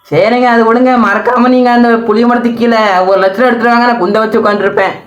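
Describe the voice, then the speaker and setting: Brief: prank phone caller, rapid colloquial delivery, pushy and repetitive, echoey room sound. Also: mid-range voice, steady tone, lo-fi sound. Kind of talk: prank phone call posing as police.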